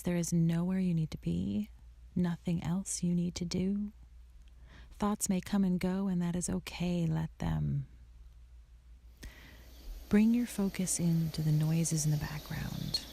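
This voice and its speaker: A woman narrating a guided meditation in a soothing, unhurried, softly spoken way with a creaky voice, leading the listener through an imagined scene. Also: fairly low pitch, no room sound. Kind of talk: guided meditation, second-person scripted visualization.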